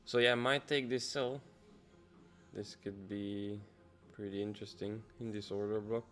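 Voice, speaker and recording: Laid-back young man, low voice. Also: steady tone, normal speed, fairly bright tone. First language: Dutch. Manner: casual, loose, musing half to himself. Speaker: trading livestreamer. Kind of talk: live-stream commentary, thinking aloud.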